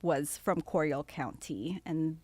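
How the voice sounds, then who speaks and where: A woman's voice: deep voice, slow, darkish tone, slightly rough, no echo. A young woman from Venezuela who grew up in San Francisco, a law student chatting casually in an interview, laughing easily and using fillers. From Venezuela, raised in San Francisco.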